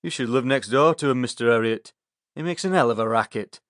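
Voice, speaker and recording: A man's voice: mid-range voice, a little fast, fairly bright tone, dry recording, clean studio sound, lightly acted. Man playing a blunt, bitter Yorkshire smallholder. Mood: bitter complaint.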